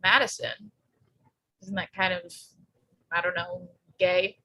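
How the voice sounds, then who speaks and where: A woman's voice: fairly low pitch, little pitch movement, slow pace, sharp tone, exaggerated voice, low-quality recording. A woman playing a blunt, wisecracking pregnant teenage girl in a script table read. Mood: dry, chatty.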